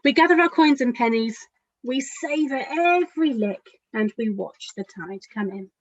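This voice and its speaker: A woman's voice: on the high side, animated, moderate pace, dry recording, highs cut off. A woman children's author reading a picture book aloud expressively, speaking directly to young listeners.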